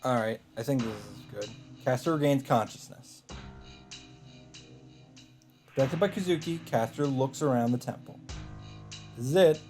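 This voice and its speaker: A man's voice: medium pitch, normal speed, long pauses. A man hosting a let's play, reading visual novel narration aloud. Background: game music.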